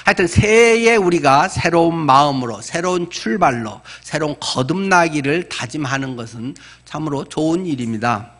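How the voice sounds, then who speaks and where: Man, around sixty, pastor, sermon, slightly high pitch, conversational explaining, rhetorical questions. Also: animated, moderate pace, hardly any pauses, fairly bright tone.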